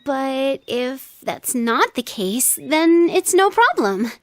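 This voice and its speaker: A woman's voice: sits high, big pitch swings, takes it slowly, no room sound, heavily acted. A woman voicing an earnest, considerate and reserved teenage girl in an anime video game, polite and thoughtful. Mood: apologetic.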